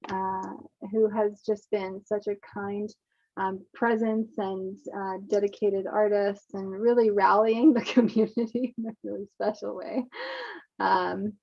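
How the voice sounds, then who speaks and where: Halting woman host over a muffled call. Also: medium pitch, flat delivery, gravelly, dry recording. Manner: warm, halting, lots of fillers. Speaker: festival session host. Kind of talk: introducing a guest. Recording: dull, dry lo-fi call audio.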